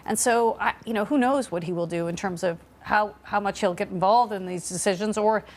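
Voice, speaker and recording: A woman's voice: medium pitch, fairly quick. A woman giving analysis on a news panel in a conversational, explanatory way. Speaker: political analyst, studio guest.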